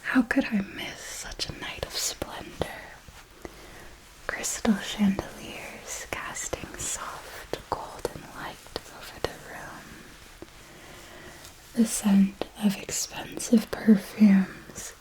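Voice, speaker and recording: A woman playing an ancient, refined female vampire mentor in an ASMR roleplay, telling her story in a deliberate, theatrical and elegant manner. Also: medium pitch, lightly acted, rough recording. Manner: slow, deliberate, theatrical, elegant old-fashioned phrasing.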